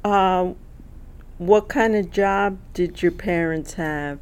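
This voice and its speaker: A woman interviewer asking measured, formal questions in an oral history interview. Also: on the low side, a little mellow, slight background noise.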